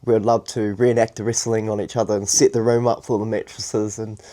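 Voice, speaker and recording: A young man co-hosting a podcast, talking calmly and thoughtfully, with lots of fillers. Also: lowish voice, a little fast. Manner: thoughtful, calm, many fillers. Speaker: podcast co-host. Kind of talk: casual chat.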